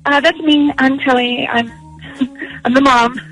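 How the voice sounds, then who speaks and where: A woman's voice: high voice, fairly even intonation, measured pace, fairly bright tone, faint music behind. A woman in her thirties chatting casually as a parent on a phone call, with a questioning manner. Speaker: parent receiving a prank call.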